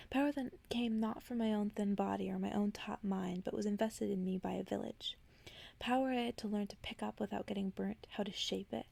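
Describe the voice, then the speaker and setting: A woman narrates a bedtime story for ASMR. Her hushed, breathy voice often slips into a whisper and is full of heavy vocal fry. She reads slowly and carefully, at an ordinary pitch for a woman.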